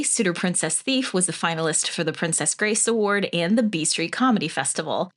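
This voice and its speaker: A woman hosting a podcast in a chatty, effusive way, moving between scripted introductions and casual interview talk. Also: mid-range voice. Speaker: podcast host.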